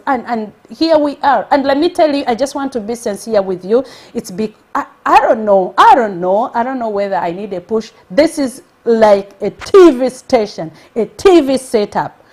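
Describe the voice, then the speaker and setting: Energetic Ugandan woman hosting a live stream. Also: on the high side. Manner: energetic, exclamatory, repeats phrases for emphasis. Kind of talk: motivational live-stream talk.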